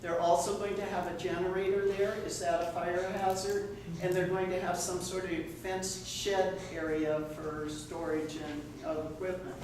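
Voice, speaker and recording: A man's voice: high-pitched, level tone, moderate pace, few pauses. A man who is a local resident, raising concerns informally at a public meeting. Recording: very echoey room, distant mic, noisy.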